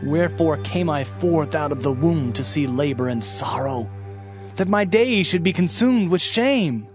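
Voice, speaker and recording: A fiery, anguished prophet acted by a man declaims in a fairly high male voice over clear background music. The delivery is solemn and archaic, in the manner of dramatised scripture. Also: animated, unhurried. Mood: bitter, despairing. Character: anguished, fiery Hebrew prophet pronouncing doom.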